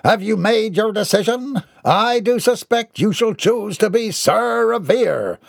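Audiobook character: grandiose, easily outraged eccentric gentleman, high declamatory voice performed by a male narrator, close dry sound. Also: expressive, unhurried, creaky, no echo, heavily acted. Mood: grandiose urging. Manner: declamatory, grandiloquent, florid formal diction. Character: pompous, indignant, grandiose eccentric man ranting.

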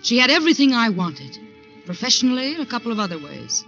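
A woman's voice: fairly high pitch, very animated, fast, a bit bright. An actress playing an ambitious, defiant young woman in advertising who tells her own story in a 1940s radio drama. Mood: brooding. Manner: dramatic, intense first-person storytelling. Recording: dull 1940s radio recording with music.

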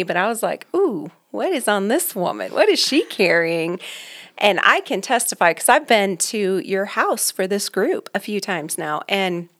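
A woman's voice: mid-range voice, a bit bright. Middle-aged woman on a podcast, gushing and emphatic, talking someone up. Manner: effusive, affirming, emphatic. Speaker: podcast co-host. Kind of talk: casual chat.

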